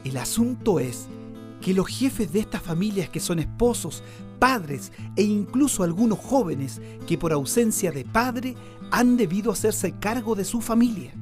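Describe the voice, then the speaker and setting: An actor with a Chilean accent playing an earnest, deferential newspaper reporter who explains things respectfully in a radio drama. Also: male voice, sits high, lively intonation, unhurried, over music. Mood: concerned, explanatory. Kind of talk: Spanish-language radio drama acting.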